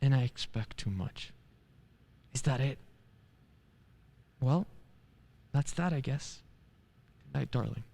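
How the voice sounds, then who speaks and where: A man's voice: medium pitch, takes it slowly, vocal fry, pauses often, warm tone. A man playing an earnest, idealistic defense lawyer in a radio play, pleading in an eager, theatrical way. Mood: dejected. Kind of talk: stage-style radio play acting. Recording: long hall-like reverb.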